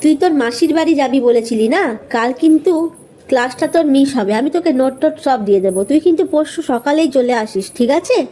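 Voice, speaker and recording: A woman voicing a caring young female college friend, familiar and gently chiding, in a storybook tale. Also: high voice, expressive, fast.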